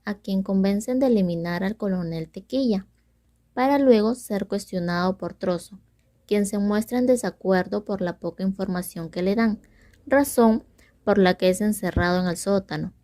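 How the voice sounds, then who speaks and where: A woman's voice: mid-range voice, moderate pace, mellow, dry recording. A young woman, a student presenter, reading a scripted story summary as narrator. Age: young adult.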